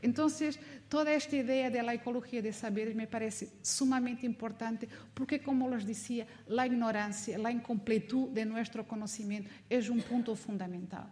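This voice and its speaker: A woman's voice: on the high side, steady tone, normal speed, a little mellow. A woman from Mozambique with a Mozambican Portuguese accent, speaking as a non-native in an informal, reflective academic lecture. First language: not Spanish, learned it as an adult; also speaks Portuguese.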